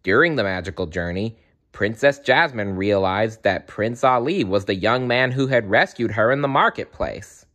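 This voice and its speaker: A man reading a children's storybook aloud in an animated style, with playful asides to the listener. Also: lowish voice, normal speed. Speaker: storybook reader.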